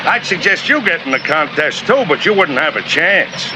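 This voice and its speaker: A man's voice: a little high, lively intonation, moderate pace, noisy background, natural voice. A man voicing a bossy, boastful Stone Age caveman in a cartoon. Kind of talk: cartoon voice acting. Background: loud music.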